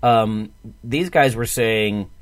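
Low-voiced man in his forties, closely recorded. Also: slow pace, dry recording. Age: 40s. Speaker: podcast host. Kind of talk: casual fitness discussion.

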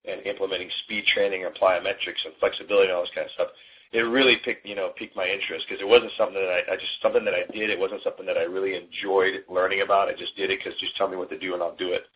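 A man in his forties talks fast and rambles as an interview guest. His voice is deep and husky, with little rise and fall. He comes through a thin, tinny phone line. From born in Hong Kong, raised in the US. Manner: rambling, relaxed, many fillers. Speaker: collegiate strength coach, guest. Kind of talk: casual interview chat. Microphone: phone call.

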